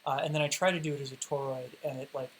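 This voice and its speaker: A man's voice: mid-range voice, steady tone, very fast, a bit bright, low-quality recording. A man losing his voice, hosting a livestream Q&A and teaching poi spinning in a chatty, rambling way full of fillers. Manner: chatty, rambling explanations with many fillers and restarts. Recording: home livestream with faint hiss.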